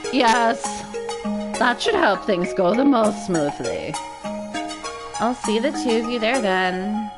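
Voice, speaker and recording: A woman's voice: on the high side, animated, takes it slowly, loud music behind the voice. A woman voicing a haughty, pompous young male aristocrat student with formal, indignant diction in a visual novel. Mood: formal, commanding.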